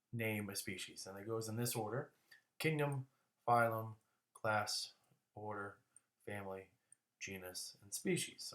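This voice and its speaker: A man gives a casual classroom lecture in a lowish, bright, forward voice. He trips over words and restarts them as he goes. The sound carries a clear room echo. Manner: casual, restarts words, coughs now and then. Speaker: teacher.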